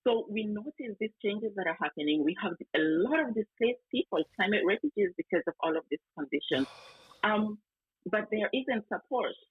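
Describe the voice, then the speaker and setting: Husky-voiced man on a tinny call. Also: high voice, animated, moderate pace, no room sound. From South Sudan. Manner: earnest, repeats words while searching for them. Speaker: panelist. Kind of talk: online panel discussion. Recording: tinny phone-like call audio.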